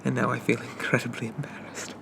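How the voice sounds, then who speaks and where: A man playing a shy, polite, lovestruck Victorian zombie gentleman, speaking softly and haltingly with flowery apologies in an ASMR roleplay. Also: medium pitch, flat delivery, creaky, background noise. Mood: embarrassed. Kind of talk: ASMR roleplay, soft-spoken character monologue.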